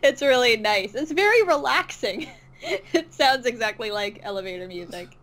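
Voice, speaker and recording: A woman's voice: a little high, animated, normal speed, fairly bright tone. A woman chatting casually as an interview guest, agreeable and hesitant, often trailing off. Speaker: interview guest, online comic dub creator. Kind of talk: casual chat.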